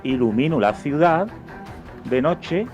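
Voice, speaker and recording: A man's voice: on the high side, expressive, takes it slowly, a little mellow, highs cut off, soft background music. A man hosting a casual radio discussion and moderating the other speakers. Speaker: radio host moderating a panel.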